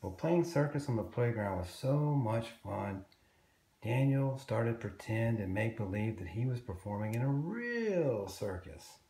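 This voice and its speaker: Mellow man reading a children's storybook. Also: medium pitch, reverberant. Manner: expressive, deliberate storytelling with drawn-out words. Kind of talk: children's storybook read-aloud.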